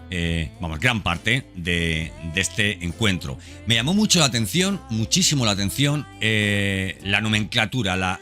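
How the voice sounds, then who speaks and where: A man hosts a podcast in a fairly low voice with a bright, forceful edge. He speaks informally and off the cuff, straight to the listener, repeating phrases for emphasis. Music plays softly behind him. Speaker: podcast host. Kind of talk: informal unscripted monologue. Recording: background music under the voice. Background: background music.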